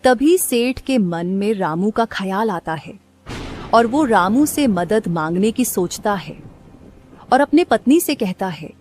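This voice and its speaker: A woman's voice: mid-range voice, expressive, takes it slowly, a little mellow, soft background music. A woman reading scripted narration as the narrator of a story.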